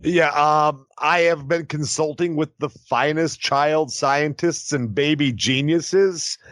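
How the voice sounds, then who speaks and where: A man's voice: fairly high pitch, fairly even intonation, takes it slowly, fairly bright tone, dry recording, clean studio sound. A man co-hosting a podcast, reading stories aloud and chatting casually, with mock-serious jokes. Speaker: podcast co-host.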